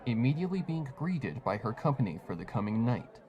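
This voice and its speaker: A man's voice: medium pitch, big pitch swings, a little fast, highs cut off, a crowd in the background. A man reading a fan fiction story aloud as a dramatized scripted narration. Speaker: story reader.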